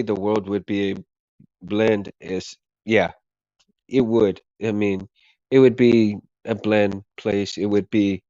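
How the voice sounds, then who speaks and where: A man's voice: medium pitch, a little mellow, smooth, no echo, highs cut off. A man hosting a casual livestream who is losing his voice, rambling and repeating himself as he thanks his viewers. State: hoarse, losing his voice, coughing. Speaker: livestream host.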